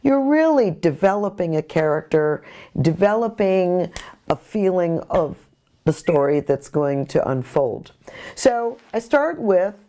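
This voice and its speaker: Middle aged lady explaining how to do something, slowish and deliberate, soft-edged mellow tone. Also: lowish voice, big pitch swings. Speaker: courtroom artist giving instruction. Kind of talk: informal instructional talk. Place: small bright home studio, indoors by a window.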